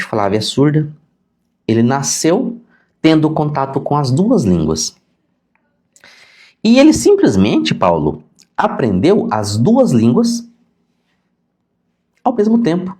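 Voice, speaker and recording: A man's voice: on the high side, warm tone. A middle-aged man with a Brazilian Portuguese accent, a teacher giving an informal live lesson in a conversational, didactic way, repeating key points for emphasis. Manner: conversational, acts out questions to himself. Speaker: sign language teacher. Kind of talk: informal live-stream lesson answering viewer questions.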